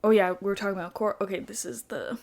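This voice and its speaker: A woman co-hosting a chatty podcast, with a quiet, soft voice, rambling and giggling, saying "like" a lot. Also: medium pitch, steady tone, quick pace, gravelly. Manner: giggly, rambling, says "like" often. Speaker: podcast co-host. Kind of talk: casual chat.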